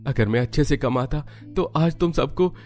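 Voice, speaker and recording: A man's voice: mid-range voice, normal speed, darkish tone, soft background music, voice pushed up, natural voice. A man voicing an apologetic, guilt-ridden poor father in a cartoon story. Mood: regretful. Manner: regretful.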